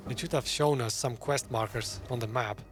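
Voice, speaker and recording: A man's voice: mid-range voice, normal speed, slight background noise. A man giving casual gameplay commentary as a gaming streamer.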